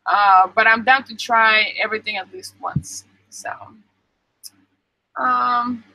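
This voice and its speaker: Casual young female streamer, upbeat and talkative, crisp bright voice, dry close mic. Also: fairly high pitch, fairly even intonation, takes it slowly, dry recording, rough recording. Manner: chatty, answers viewer comments with many ums. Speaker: vlogger reading live chat. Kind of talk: casual live stream chat.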